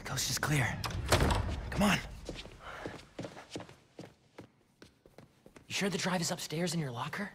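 A man's voice: a little high, a little fast, noisy background, natural voice. A man voicing a friendly, nervous teenage schoolboy sidekick in a video game, casual and chatty.

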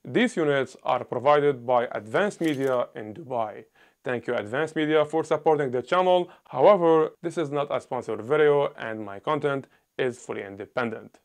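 A man in his thirties giving a scripted gear review on a small indoor set, talking loudly and emphatically into a lapel mic. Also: medium pitch, normal speed. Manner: loud, emphatic delivery.

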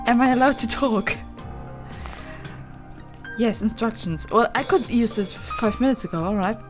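A woman doing casual, exasperated, sweary let's play commentary and reading on-screen text aloud. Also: medium pitch. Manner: sweary, exasperated banter with the game. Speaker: gaming video host. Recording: loud game music behind. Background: game music.

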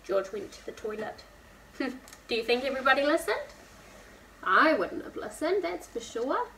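A woman in her twenties reading a picture book aloud to young children, expressive and asking listeners questions. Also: sits high, a little slow. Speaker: preschool storytime reader. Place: indoor room, seated beside a whiteboard. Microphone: camera a few feet away. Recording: distant camera mic, faint room noise.

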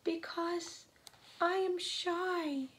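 A woman's voice: medium pitch, very animated, takes it slowly, fairly bright tone, slight background noise. A woman voicing a shy, bashful owl in a children's picture book. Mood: timid. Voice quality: trembling, hooting. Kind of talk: picture-book read-aloud for young children.

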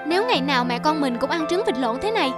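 A woman's voice: high voice, lively intonation, racing, over music. A woman playing an innocent, affectionate young boy in a cartoon, chatty and childlike. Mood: happy. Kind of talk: cartoon voice acting.

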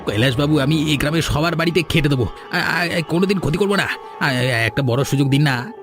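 A man's voice: on the high side, brisk, over music, natural voice. A man voicing a cowed village schemer in a cartoon fairy tale, pleading in a servile, stammering way. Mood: begging.

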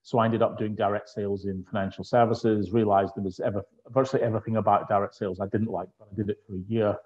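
A man's voice: lowish voice, level tone, fast, darkish tone. Scottish man in his fifties, lo-fi call. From northeast Scotland. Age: 50s. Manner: chatty, anecdotal storytelling. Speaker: guest. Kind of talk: conversational interview. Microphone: earphone mic on a video call. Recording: dull lo-fi video call audio.

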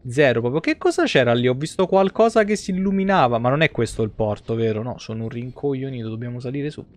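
A man's voice: mid-range voice, animated, fast. A man, giving casual, sweary, joking live commentary on a video game. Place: indoor room, streaming setup.

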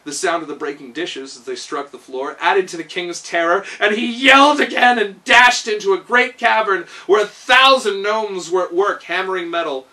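A man's voice: sits high, normal speed, few pauses, bright, forceful tone, distant mic. A man in his thirties reading a children's story aloud with expression. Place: small living room, indoors.

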